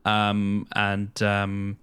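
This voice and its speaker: A British man with a fairly low voice chats as a podcast co-host. His delivery is informal and hesitant, often repeating words and letting thoughts trail off before picking them up again. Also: completely flat delivery, drawn-out words, fairly bright tone, smooth. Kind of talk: casual chat.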